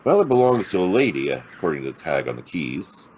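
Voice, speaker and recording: Very low-voiced hard-boiled detective. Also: male voice, very animated, fast talker, a little mellow, slight background noise. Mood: wry, casual. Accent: American. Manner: sardonic, wry, clipped. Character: hard-boiled, wisecracking male private detective, narrating his case. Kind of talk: noir audio drama acting and narration.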